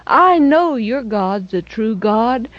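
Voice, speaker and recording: A woman voicing a frightened woman who feigns shocked surprise, with breathless, high exclamations. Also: expressive, takes it slowly, no room sound. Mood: earnest pleading. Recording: dull lo-fi recording.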